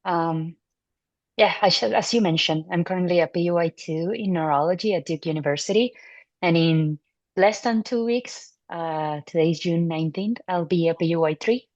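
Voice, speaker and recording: Low-voiced Venezuelan-born woman, muffled. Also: normal speed, no echo. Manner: relaxed, thoughtful, with frequent fillers and restarts. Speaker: neurology resident. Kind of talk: casual interview answers. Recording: muffled dry audio.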